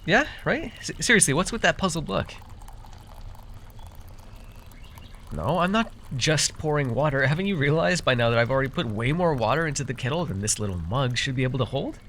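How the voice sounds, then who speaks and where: A man's voice: medium pitch, lively intonation, quick pace, hardly any pauses, fairly bright tone, a little hiss or hum, natural voice. A man playing a proud, easily exasperated magical herbalist in a fantasy roleplay, talking straight to the listener with theatrical airs and sheepish, stammering asides. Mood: boastful, showy. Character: proud, easily exasperated young magical herbalist who gave away his name.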